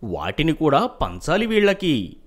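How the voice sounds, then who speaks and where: A man voicing a grave, worried landlord father with strict traditional values in an animated moral story. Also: on the high side, unhurried, heavily acted. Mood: measured.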